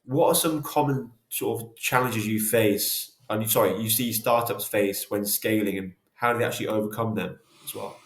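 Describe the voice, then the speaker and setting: Low-voiced male host in an echoey room. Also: moderate pace, hardly any pauses. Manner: casual, friendly, rambling questions. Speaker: podcast host, co-founder. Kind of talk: podcast interview.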